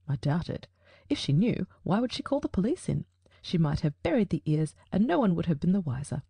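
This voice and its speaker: A keen, probing detective from a storybook, his dark, mellow voice crackling with a gravelly edge, as a woman reads him. He questions crisply, turning each clue over aloud. The recording is dry and close. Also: mid-range voice, no echo, exaggerated voice. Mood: puzzling. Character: sharp-witted male detective, keen and probing. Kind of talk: audiobook character reading.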